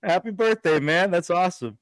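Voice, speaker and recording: A man's voice: sits high, over a noisy crowd. A man co-hosting a casual podcast, enthusiastic and profane, often cheering and laughing along with his guests. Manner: hyped, profane, quick to laugh. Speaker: podcast co-host.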